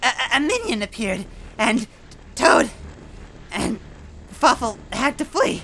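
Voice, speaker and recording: A frantic storybook henchman with a high, gravelly squeal. He wheezes through mangled grammar, calling himself by name, and his pitch swoops wildly. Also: sing-song, takes it slowly, sharp tone, slight background noise, heavily acted. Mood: panicked. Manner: broken grammar, speaks of himself in third person. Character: frantic, wheezing villainous minion with fractured grammar.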